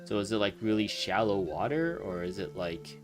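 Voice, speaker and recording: A man with a fairly low voice thinks out loud as he comments on a video game. He is casual and wry, often amused as he rambles. Game music plays clearly behind him. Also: animated, normal speed. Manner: wry, amused, chuckles while musing. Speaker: let's play streamer commenting on a game. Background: video game music and dialogue.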